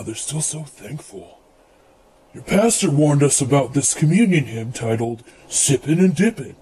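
A man's voice: a little high, mellow. A man playing an overly eager, irreverent worship leader in a comedic radio sketch, reading letters aloud with exasperated asides. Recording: clearly noisy background ambience.

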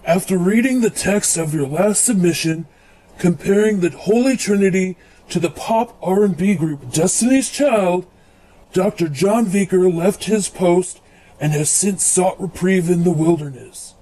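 Stern, exasperated official played by a man for comic radio theatre: slow, formal, high male voice with swooping pitch. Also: warm tone, low-quality recording. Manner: deliberate, formal, cutting. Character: stern exasperated doctrinal reviewer reading rejection letters.

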